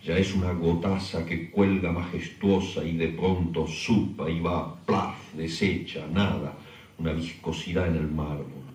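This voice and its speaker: A man's voice: low-pitched, steady tone, unhurried, darkish tone, reverberant, far from the mic. A man giving a literary recitation.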